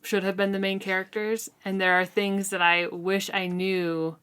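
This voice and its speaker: A woman's voice: mid-range voice, level tone, normal speed, fairly bright tone, clear, resonant tone. A woman co-hosting a podcast, giving thoughtful, hedged opinions in a casual book discussion. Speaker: co-host of a book read-along podcast.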